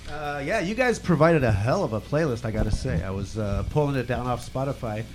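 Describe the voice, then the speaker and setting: A man's voice: medium pitch, lively intonation, fairly quick, music in the background. A man with a Midwestern accent hosting a radio interview, chatty and swearing, laughing often. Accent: Midwestern American. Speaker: radio show host. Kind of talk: casual interview chat.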